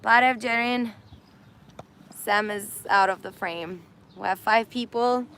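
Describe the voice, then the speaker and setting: A woman's voice: fairly high pitch, takes it slowly, pushed and bright, slight background noise. A woman hosting a casual live stream, laughing often and losing her voice. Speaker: host of an Instagram live. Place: indoors in Armenia.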